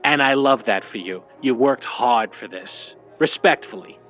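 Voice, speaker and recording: An actor playing a slick, devoted old-time talent agent with slangy, careful patter, in a vintage radio drama style. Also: male voice, medium pitch, unhurried, fairly bright tone, faint music behind, close to an everyday voice. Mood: hesitant, careful.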